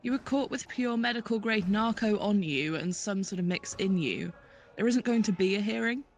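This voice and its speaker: A woman's voice: mid-range voice, normal speed, natural voice. A woman playing a cynical prison officer in a dystopian audio drama, wry and matter-of-fact.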